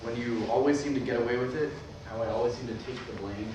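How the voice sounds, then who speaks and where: A man's voice: medium pitch, steady tone, quick pace, close to an everyday voice. A man acting in a staged play reading as a wry, apologetic older brother, estranged and carrying old grievances. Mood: regretful. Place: large room at a staged reading. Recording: very echoey distant room recording, clear noise.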